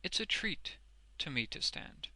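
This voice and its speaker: A man's voice: a little slow, bright, forceful tone, no room sound. A man voicing a smug, obsequious street ballad seller in a storybook, deferential yet self-important.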